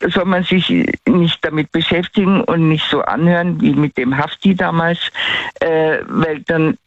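A man's voice: on the high side, fairly even intonation, unhurried, dry recording. A middle-aged man with a Tyrolean accent, calling in to a radio show and rambling on with fillers and restarts. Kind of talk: casual radio call-in chat. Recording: dull lo-fi radio line.

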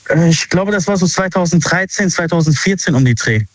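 A man's voice: on the high side, a little fast, no room sound. Polite young male caller, dull dry line. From Syria. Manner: polite, earnest. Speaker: phone-in caller. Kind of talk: casual personal account. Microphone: telephone. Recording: dull, dry lo-fi phone call.

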